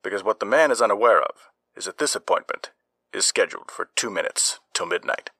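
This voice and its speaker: A man's voice: on the low side, animated, fairly quick, sharp tone, dry recording, clean studio sound. A man giving scripted narration as an ominous, dramatic storyteller for a horror anthology.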